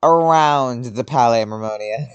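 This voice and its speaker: A woman's voice: a bass voice, unhurried, smooth, muffled recording. A woman giving casual, off-the-cuff commentary while playing a video game. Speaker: gamer reacting while playing.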